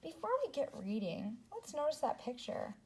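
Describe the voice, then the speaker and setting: A woman teacher reading a story aloud to young children, speaking expressively and deliberately, with asides to her second-grade listeners. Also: high-pitched, very animated, mellow, low-quality recording.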